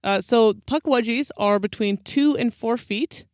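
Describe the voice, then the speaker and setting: A woman's voice: on the high side, unhurried, darkish tone, no echo, studio quality. A woman co-hosting a podcast, explaining folklore in casual, informal chat. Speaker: podcast co-host.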